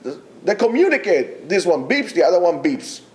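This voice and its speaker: A man giving a Torah lecture to yeshiva students, emphatic and exhorting, with many pauses. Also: high-pitched, big pitch swings, slight background noise. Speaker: yeshiva teacher.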